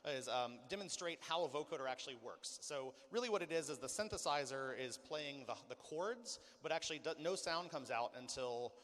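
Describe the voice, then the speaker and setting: A man's voice: fairly high pitch, expressive, normal speed, hardly any pauses, a bit bright. A man giving an informal clinic presentation as a sound designer, explaining and demonstrating to listeners. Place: large hall, live clinic performance. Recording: long hall reverb.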